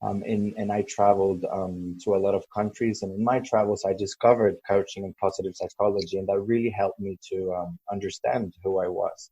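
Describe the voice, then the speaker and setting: A man from El Salvador, a coach being interviewed, speaking thoughtfully with many pauses and ums. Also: sits low, warm tone, slightly rough, dry recording, highs cut off. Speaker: guest, coach. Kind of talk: podcast interview.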